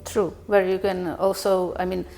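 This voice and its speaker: A woman's voice: mid-range voice, level tone, moderate pace, mellow, faint music behind, rough recording. A woman hosting a podcast, asking questions in a chatty, encouraging conversational interview style. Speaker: podcast host.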